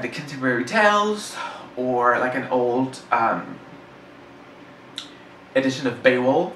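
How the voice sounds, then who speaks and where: Bright-voiced French-native man reviewing a book. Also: mid-range voice. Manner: rambling, self-correcting, enthusiastic. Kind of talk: casual book discussion. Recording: roomy, slightly distant mic, faint hiss.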